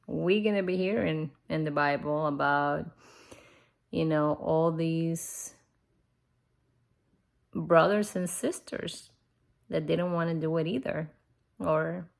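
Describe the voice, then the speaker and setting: A middle-aged woman speaks English with a foreign accent in a casual, reflective devotional style. Her voice sits a little low and has a lot of creak. She takes her time, talking very slowly and earnestly. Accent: non-native English accent. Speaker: host of a Bible-reading vlog. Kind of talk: casual personal devotional talk to viewers.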